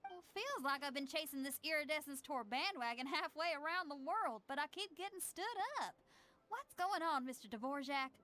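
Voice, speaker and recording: Bright, spirited young musician. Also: female voice, high-pitched, animated, moderate pace. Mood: teasing, curious. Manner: peppy, folksy, outgoing. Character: spirited, upbeat young female musician, bold and friendly. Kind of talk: video game voice acting.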